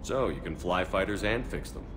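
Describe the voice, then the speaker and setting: An actor playing a steady, authoritative starship commander in a video game, with a terse, measured delivery. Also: male voice, sits low, noisy background. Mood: businesslike.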